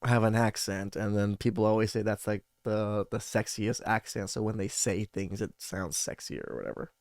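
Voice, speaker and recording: A man's voice: fairly low pitch, little pitch movement, moderate pace, a little mellow, smooth, dry recording, clean studio sound. A man hosting a podcast, reacting to clips in a laid-back, casual way with some swearing. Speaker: podcast host.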